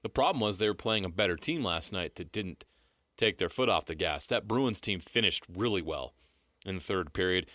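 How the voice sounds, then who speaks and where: An American man breaks down sports as a radio co-host. His voice is on the low side but bright and forceful, moving around in pitch. The talk is informal and conversational. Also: animated, moderate pace, no echo, clean studio sound. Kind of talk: casual sports talk with analysis. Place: radio studio. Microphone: close broadcast microphone.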